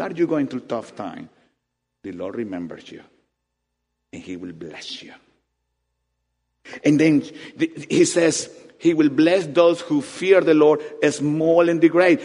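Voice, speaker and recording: A man with preaches in a hall with a long, ringing reverb. His voice sits a little high for a man and leaps up and down with animated, emphatic swings. He drops to soft asides, then climbs to ringing peaks. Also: slightly rough. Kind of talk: sermon. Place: church hall.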